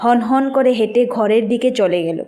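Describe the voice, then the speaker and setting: A woman narrator with a fairly high voice. She delivers scripted storytelling for an animated family tale. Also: moderate pace.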